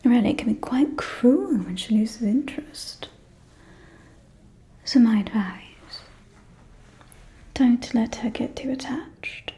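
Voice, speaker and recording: A catty vampire aristocrat played by a woman whispers gossip in a soft, mellow voice. She takes her time, drawling confidingly and archly, as in an intimate ASMR roleplay. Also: on the high side, animated. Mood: conspiratorial, catty. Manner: slow, confiding, arch, drawling.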